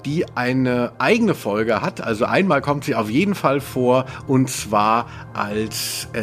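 Playful German podcast man, very lively pitch. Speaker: podcast host and quizmaster. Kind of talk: casual podcast chat, posing a quiz.

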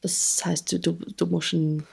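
A woman's voice: lowish voice, flat delivery, a little slow, vocal fry, darkish tone, husky. A young woman with a Swiss German accent, a drummer chatting as an interview guest, laughing often and restarting her sentences. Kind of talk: casual conversational interview.

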